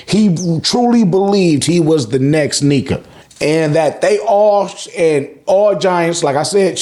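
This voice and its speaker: A man's voice: on the high side, a little mellow. A man hosting a casual recap, talking in profane slang, acting out reactions and repeating words in bursts as he goes. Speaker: host of a manga review channel. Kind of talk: casual, animated chapter recap commentary.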